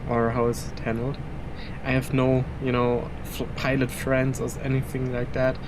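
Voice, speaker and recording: A man's voice: mid-range voice, monotone, moderate pace, background noise. A young man, a native German speaker, chatting casually in English through a flight sim session at his home PC, rambling and chuckling between thoughts. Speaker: flight simulator streamer. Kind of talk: casual gameplay commentary.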